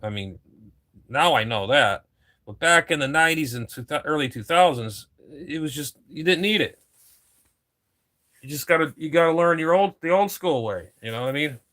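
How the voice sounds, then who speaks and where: A man's voice: fairly high pitch, lively intonation, normal speed, a bit bright. A man in his fifties, a ham radio operator chatting casually on air, rambling and repeating himself, with casual swearing. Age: 50s.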